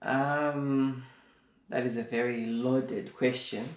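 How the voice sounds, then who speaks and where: A young man from Zimbabwe, a guest in a casual interview, speaking slowly and thoughtfully, with plenty of fillers. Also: medium pitch, steady tone. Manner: slow and reflective, with many fillers. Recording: echoey room.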